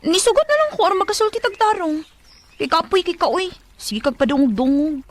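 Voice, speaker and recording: High, animated, headstrong Cebuano young woman. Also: brisk. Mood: exasperated. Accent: Cebuano Filipino. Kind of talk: Bisaya radio drama acting.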